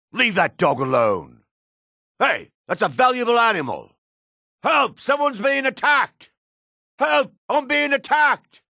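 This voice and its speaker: A gruff dark elf warrior in a fantasy video game. His voice is gravelly and husky, recorded very dry and close. He is proud and combative, with a theatrical delivery. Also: high voice, no room sound, heavily acted. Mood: alarmed. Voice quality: gravelly, rasping.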